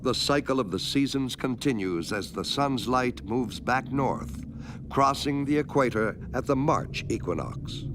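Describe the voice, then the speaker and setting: A man giving a measured, scripted narration for an educational film, explaining things clearly step by step. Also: medium pitch, background noise. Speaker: documentary narrator.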